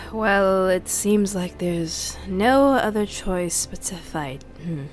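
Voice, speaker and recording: A woman playing a tabletop fantasy game, roleplaying casually in a hesitant, soft-spoken way. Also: mid-range voice, slow pace.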